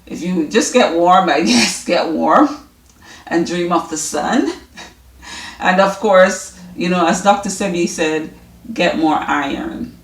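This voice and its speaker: A woman's voice: fairly low pitch, takes it slowly. A woman with a Jamaican accent, chatting informally and loosely to her viewers as the host of a wellness vlog. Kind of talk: casual rambling chat to viewers. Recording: echoey room, distant mic.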